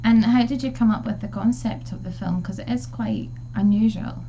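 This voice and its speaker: A woman's voice: fairly high pitch, fairly even intonation, normal speed, mellow. A woman hosting an interview show, asking friendly questions with some fillers. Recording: dull, slightly noisy line.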